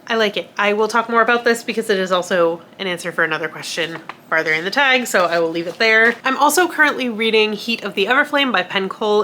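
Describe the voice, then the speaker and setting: A woman's voice: mid-range voice, sharp tone, a little hiss or hum. A woman with a cold and a nasal, congested voice, chatting casually to camera about books. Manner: chatty and self-deprecating, with frequent fillers and laughs. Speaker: book vlogger.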